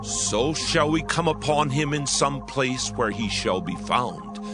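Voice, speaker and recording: An actor playing a shrewd royal counselor in a dramatized scripture reading, pleading his case slowly and with weight. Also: fairly high pitch. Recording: music bed behind voice.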